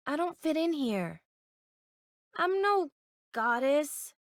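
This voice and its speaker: An actress playing an easygoing, self-deprecating young street urchin turned soldier in a video game, with casual, flippant bravado. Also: female voice, high-pitched, dry recording, studio quality.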